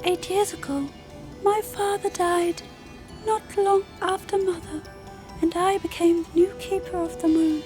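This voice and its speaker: A woman's voice: very high voice, a little mellow. A woman playing a serene, lofty female moon spirit in an audio drama, speaking formally and solemnly over music. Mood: solemn, confessional. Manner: formal, solemn, measured storytelling. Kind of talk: audio drama character monologue. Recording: music behind the voice.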